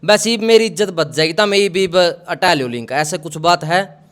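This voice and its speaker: Male interviewer, Haryanvi accent, smooth clear voice on the higher side, conversational live-interview delivery. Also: moderate pace, a bit bright. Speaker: media interviewer. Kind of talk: live call interview in colloquial Hindi.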